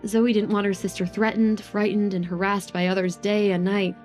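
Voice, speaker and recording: A female narrator reads a scripted romance drama. Her voice is mellow and of middle pitch, and faint music plays behind her. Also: level tone, normal speed.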